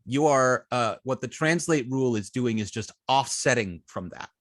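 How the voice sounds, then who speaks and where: A man teaching informally as an instructor, thinking aloud with halting, repeated and restarted phrases. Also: mid-range voice, expressive, dry recording, studio quality. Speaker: web design instructor.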